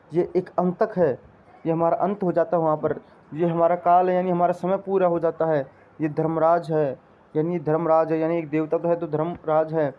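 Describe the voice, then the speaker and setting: A man teaching an exam-preparation class in an instructional style, explaining in a repetitive, drilling way. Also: a little high. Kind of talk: instructional lecture with memory tricks. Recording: dull lo-fi audio.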